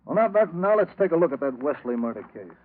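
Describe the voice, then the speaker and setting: An actor playing a scheming, short-tempered, suspicious racketeer in a 1940s radio drama, with a curt, commanding manner. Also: male voice, on the high side, sing-song, fast. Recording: narrow, tinny old radio recording.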